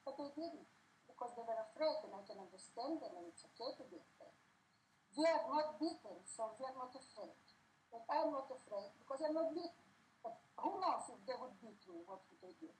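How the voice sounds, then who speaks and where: A middle-aged woman from the Soviet Union, a native Yiddish speaker, telling memories in halting English and often searching for words. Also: sits high, lively intonation, a little mellow, slight background noise, poor audio. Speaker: interviewee, singer's daughter. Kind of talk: casual spoken reminiscence.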